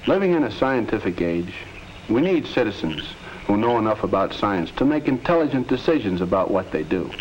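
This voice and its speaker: A man's voice: lowish voice, sing-song, brisk. A man playing an earnest, patient middle-aged American father advising his teenage children in a 1950s educational film. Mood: solemn. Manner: earnest, instructive. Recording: muffled, hissy 1950s film soundtrack.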